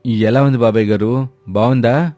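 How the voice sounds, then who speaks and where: A man's voice: medium pitch, steady tone, moderate pace, mellow, faint music behind, natural voice. A man playing an earnest village husband who is respectful to elders and admonishing toward his wife in a moral story. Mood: eager, anxious. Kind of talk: dramatised Telugu moral story acting.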